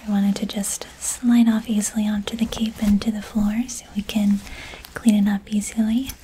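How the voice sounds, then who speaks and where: Young woman barber roleplay, soft whisper right at the mic, pretty flat, faint hiss behind. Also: mid-range voice, normal speed, poor audio. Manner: soft whispering, gently asking questions. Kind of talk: ASMR haircut roleplay. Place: small decorated indoor room. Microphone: close studio mic in front.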